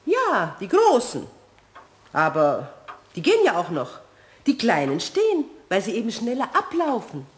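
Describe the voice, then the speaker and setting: A woman playing a sensible, amused countess in a German children's radio play, brisk and lightly teasing. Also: mid-range voice, huge pitch swings. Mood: patient, dismissive.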